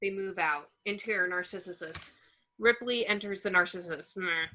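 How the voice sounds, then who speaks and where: A woman's voice: fairly low pitch, moderate pace, bright, forceful tone. A woman narrating stage directions from a screenplay in short clipped phrases, on a lo-fi recording. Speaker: narrator reading action descriptions.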